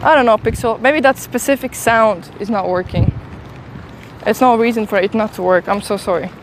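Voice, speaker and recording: A woman's voice: fairly high pitch, normal speed. A woman with a slight Swedish accent chatting casually to her stream viewers outdoors, talking through a phone at arm's length. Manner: chatty, self-deprecating, talks to chat while eating. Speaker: travel streamer. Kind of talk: casual live-stream chat. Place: outdoors on a city street. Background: city traffic and street noise.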